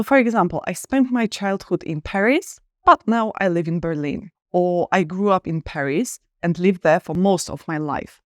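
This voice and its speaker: A woman's voice: fairly low pitch, no room sound, clean studio sound. Upbeat woman teaching English. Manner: clear, upbeat and encouraging, with slow stressed examples. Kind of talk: online English lesson.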